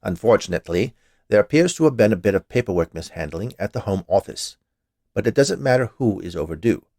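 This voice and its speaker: A man's voice: fairly low pitch, animated, fairly quick, gravelly, darkish tone, no room sound, studio quality, voice pushed down. A man reading a story, voicing an officious, stubborn male health inspector with a curt, pedantic manner.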